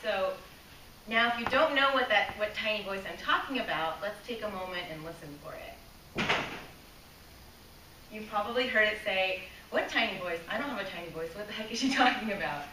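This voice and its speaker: A woman speaking to a live room in a clear, bright voice. She sounds earnest and asks the audience questions. She is picked up from a distance in an echoey room with a faint hiss. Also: mid-range voice, normal speed. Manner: earnest, asks the audience questions, small laughs, loses her place and restarts. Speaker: club speaker. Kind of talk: prepared public speech to a live room.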